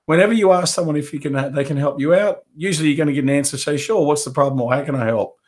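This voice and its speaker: A man with a head cold who is losing his voice, teaching a live webinar for business owners. He coughs and clears his throat often, and acts out sales pitches as quoted dialogue. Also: fast talker, a little mellow. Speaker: business coach.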